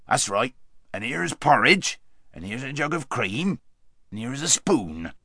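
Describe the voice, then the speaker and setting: A gruff, bustling little red dwarf, as a male narrator puts him on, brisk, bossy and hearty. The voice is gravelly and husky, leaping high and low with bright force. Dry close recording. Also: very animated, no room sound, heavily acted.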